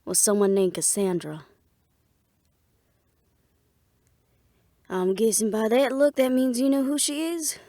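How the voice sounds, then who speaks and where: A woman's voice: on the high side, moderate pace, mellow, dry recording, lightly acted. Guarded, businesslike actress as a woman, close dry sound. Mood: guarded, serious. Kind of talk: audio roleplay drama, scripted dialogue.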